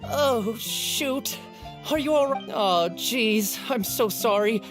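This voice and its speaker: An actress playing a flustered, apologetic young newspaper seller in a video game, polite, hesitant and eager to please. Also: female voice, a little high, takes it slowly. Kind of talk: scripted video game dialogue. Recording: music behind the voice. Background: game music.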